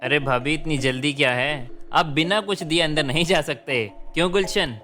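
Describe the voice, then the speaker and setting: A man's voice: fairly high pitch, moderate pace, pushed and bright, faint music behind. A man playing a playful, bossy young brother-in-law in a cartoon story, teasing and insistent. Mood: teasing demand.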